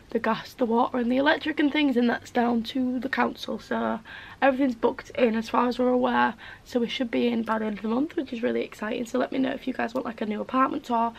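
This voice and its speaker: A woman's voice: a little high, creaky, low-quality recording. A woman in her twenties who is losing her voice, chatting casually through a get-ready-with-me video in her bedroom, rambling with lots of "like" and "um". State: losing her voice, a bit hoarse. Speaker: beauty vlogger. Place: bedroom, indoors.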